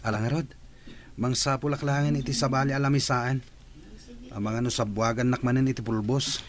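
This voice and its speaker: A man's voice: medium pitch, steady tone, moderate pace, close to an everyday voice. A man playing a friendly, easygoing teenage schoolmate in a radio drama, with an Ilocano Filipino accent.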